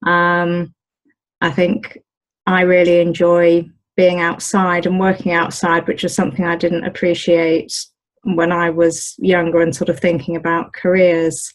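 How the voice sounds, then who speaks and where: A British woman talking casually in an interview as the owner of an olive grove, pausing often with ums and restarts. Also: fairly low pitch, completely flat delivery. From United Kingdom. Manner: hesitant, many ums and restarts.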